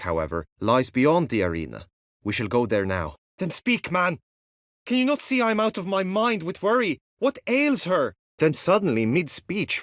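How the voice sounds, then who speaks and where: An actor playing an earnest, lovesick, chivalrous knight in a video game, with formal, archaic courtly phrasing and an anxious streak. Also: male voice, fairly high pitch, a bit bright, no echo, studio quality. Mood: agitated, urgent, then troubled, confiding. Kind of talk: video game character acting.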